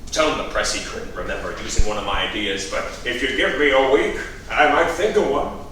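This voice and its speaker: A man's voice: mid-range voice, a little fast, pushed and bright, a little hiss or hum, natural voice. A man playing a scheming, grumbling politician. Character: scheming paranoid male politician, middle-aged. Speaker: actor in a staged reading. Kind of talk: staged play reading. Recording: echoey room from far off.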